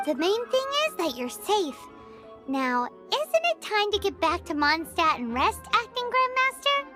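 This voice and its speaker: A woman voicing a small chirpy fairy companion with a squeaky, childlike voice and a fussy, scolding manner. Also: big pitch swings, a little slow, pushed and bright, heavily acted. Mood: caring. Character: small floating fairy guide, chirpy and bossy. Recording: game audio with background music.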